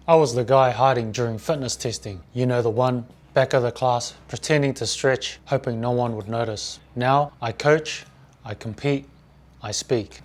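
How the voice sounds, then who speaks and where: A man in his forties from New Zealand with a New Zealand accent, a fitness coach giving a motivational speech in short, deliberate phrases. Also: mid-range voice, darkish tone, slight background noise. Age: 40s.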